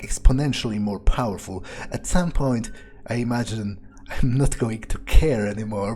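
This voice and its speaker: A man's voice: lowish voice, darkish tone, natural voice. A man voicing a scheming, condescending vampire in an improvised game playthrough, thinking aloud with hesitations. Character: scheming, condescending male vampire, reluctant servant of a prince.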